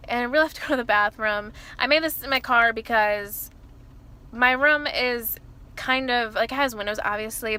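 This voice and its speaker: A woman in her early twenties with a loud voice, talking casually to camera from inside her car and rambling with lots of fillers and restarts. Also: on the high side, clean tone. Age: early 20s. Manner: rambling, self-interrupting, many fillers like "like" and "you know". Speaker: vlogger. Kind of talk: casual confessional chat to camera.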